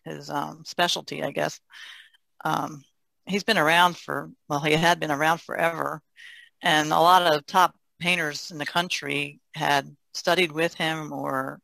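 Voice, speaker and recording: A woman's voice: sits low, creaky, bright, forceful tone, no echo, rough recording. A woman co-hosting a podcast in casual conversation. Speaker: podcast co-host.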